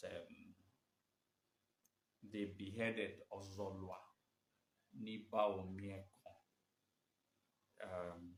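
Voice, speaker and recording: Rambling man, bright echoey sound. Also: lowish voice, a little hiss or hum. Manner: rambling and hesitant, many fillers and restarts. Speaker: host of a live video show on history. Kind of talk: unscripted live-stream talk. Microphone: phone or webcam during a live stream. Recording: echoey room.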